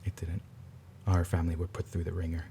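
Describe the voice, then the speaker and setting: A guarded, grieving brother, played by a man, with a very deep, gravelly voice. He speaks quietly and haltingly, often stumbling and restarting, with a faint hiss behind him. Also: completely flat delivery.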